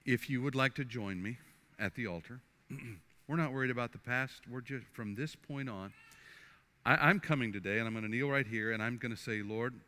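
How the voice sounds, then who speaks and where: An older man, a pastor, preaching and then praying at the altar in a church sanctuary, earnest and halting, often restarting his words. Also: mid-range voice. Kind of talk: sermon and altar prayer. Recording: faint guitar music underneath. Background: soft guitar music.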